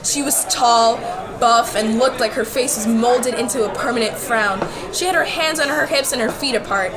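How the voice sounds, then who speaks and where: A woman's voice: on the high side, moderate pace, crowd noise, close to an everyday voice, rough recording. A woman playing a nervous, bewildered schoolgirl who tells her own story in an amateur radio play. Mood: narrating. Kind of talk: first-person story narration and acted dialogue in an amateur radio play.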